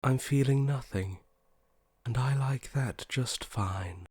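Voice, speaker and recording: Slow, cold, detached man, very dry sound. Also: mid-range voice, darkish tone, breathy edge, no room sound. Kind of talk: video game voice acting.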